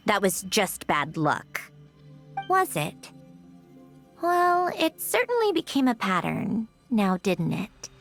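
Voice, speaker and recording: A woman playing a logical, wistful female artificial being in a video game, speaking in a deliberate, analytical and reflective way. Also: medium pitch, huge pitch swings, vocal fry, soft background music, exaggerated voice. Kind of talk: video game character acting.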